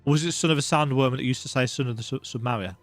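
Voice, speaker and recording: A man's voice: mid-range voice, rapid-fire, no echo. A man giving casual, chatty, self-deprecating commentary as host of a let's play, speaking close to the mic. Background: video game music.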